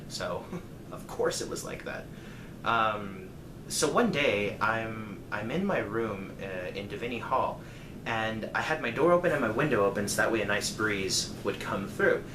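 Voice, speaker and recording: A man telling a personal story casually, in a rambling, conversational way with dramatic emphasis. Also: on the low side. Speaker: storyteller vlogger. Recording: echoey room, clear background noise.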